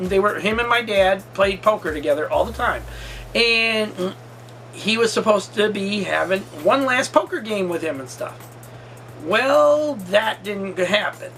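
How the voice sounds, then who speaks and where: Bright-voiced man in his forties telling stories. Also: high-pitched. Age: 40s. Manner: rambling, emphatic, repeats himself. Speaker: storytime vlogger. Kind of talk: casual personal storytelling.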